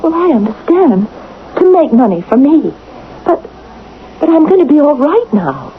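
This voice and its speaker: A woman's voice: sits high, very animated, normal speed. An actress playing a devoted, reproachful young woman in a 1970s radio drama, earnest and emotional. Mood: reproachful, pleading. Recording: tinny, noisy vintage radio broadcast.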